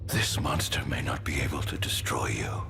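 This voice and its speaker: An actor playing an anguished chosen king turned vengeful in a video game, grandiose and dramatic, veering from hushed to howling. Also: very deep voice, normal speed, gravelly, whispered, music in the background. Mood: vengeful, dark.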